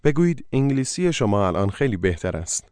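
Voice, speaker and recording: A man's voice: medium pitch, very animated, rapid-fire, darkish tone, clear, resonant tone, no room sound. A man narrating a language course, giving short, directive prompts for the listener to repeat. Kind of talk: scripted instruction.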